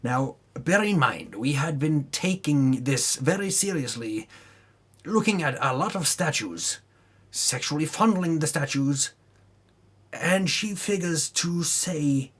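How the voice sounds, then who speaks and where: A man's voice: fairly high pitch, expressive, slow pace, husky. A man narrating a tabletop campaign recap as a dramatic storyteller, with theatrical delivery and casual asides.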